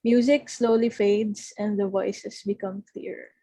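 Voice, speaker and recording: A woman's voice: medium pitch, fairly even intonation, unhurried, dark tone, no echo. A woman with a Filipino accent reading stage directions and giving informal instructions on a video call. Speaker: narrator reading stage directions at a table read. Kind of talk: scripted narration with informal instructions.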